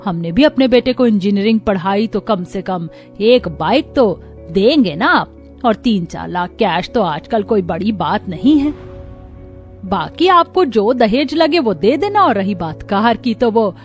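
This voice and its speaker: A woman's voice: mid-range voice, animated, unhurried, lightly acted. A woman voicing a greedy middle-aged groom's mother in a cartoon story, coaxing and demanding, with faint music behind.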